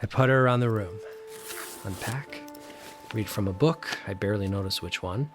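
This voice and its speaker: An actor playing a haunted, curious solitary man in an audio drama, halting and gravelly, as if thinking aloud. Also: fairly low pitch, over music, natural voice. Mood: quiet, reflective. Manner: hesitant, halting, murmuring to himself. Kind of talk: first-person dramatic narration with in-scene dialogue.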